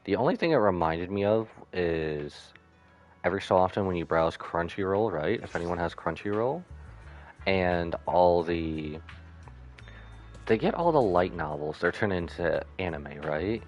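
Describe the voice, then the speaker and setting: Deep voiced guy streaming a game, rambles off on tangents, lively pitch swings, music behind him. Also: unhurried. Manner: rambling, drifts into asides. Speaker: live-streamer. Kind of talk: casual gameplay commentary.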